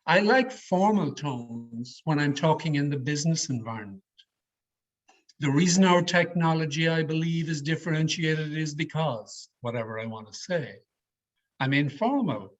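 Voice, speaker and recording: Husky, higher-pitched man teaching public speaking. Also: expressive. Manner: deliberate, emphatic, with demonstrative vocal variety. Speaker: speech trainer giving an educational session. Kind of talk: online workshop presentation on public speaking. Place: online video call. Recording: slightly muffled, lo-fi video call audio.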